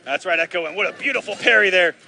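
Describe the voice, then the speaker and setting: A man doing live play-by-play commentary on a fighting game, excitable and bursting loud on big plays, his voice slightly raspy from long casting. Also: high-pitched, fast talker, a little hiss or hum. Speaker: esports commentator.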